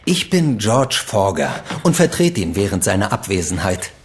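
An actor playing a courteous, slick coin dealer in a radio play. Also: male voice, lowish voice, big pitch swings, normal speed. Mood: smooth.

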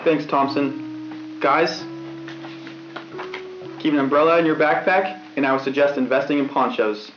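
A man's voice: on the high side, unhurried, long pauses, faint music behind, close to an everyday voice. A young man playing an earnest, straight-faced school weatherman giving a scripted forecast on old tape. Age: young adult. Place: indoor studio set. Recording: roomy, dull VHS broadcast sound.

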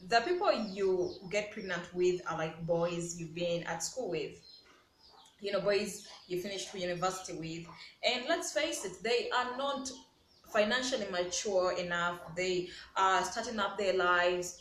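A young woman with a Ugandan accent gives her opinions straight to camera in a mid-pitched voice. She leans on key words and repeats them for emphasis. The sound is roomy and echoey, with some faint background noise. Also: fairly bright tone. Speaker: vlogger. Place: small bare-walled room indoors. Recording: echoey room with background hiss.

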